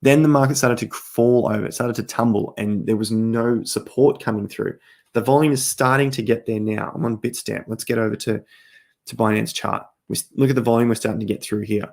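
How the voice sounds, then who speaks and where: A middle-aged man talks viewers through price charts on a live stream, hammering points home by repeating them. His voice is low and gravelly, and it is going hoarse as he keeps clearing his throat. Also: steady tone, a little fast. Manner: repetitive, emphatic, talking through charts. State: losing his voice, coughing. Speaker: crypto trading livestream host.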